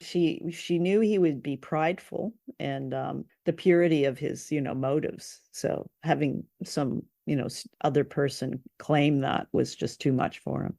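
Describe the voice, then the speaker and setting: A man with a slightly high, gravelly voice talks casually as a review show's co-host. His humour is deadpan and teasing, and the sound is very dry, free of room echo. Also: measured pace, few pauses. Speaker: co-host of a show reviewing old radio dramas.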